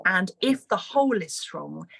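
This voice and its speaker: A woman from Malawi, a school head on a webinar panel, speaking earnestly and articulately with emphatic repetition in a husky voice. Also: mid-range voice, animated, a little slow. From grew up in Malawi as an expatriate. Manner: earnest, emphatic, articulate, repeats words for stress.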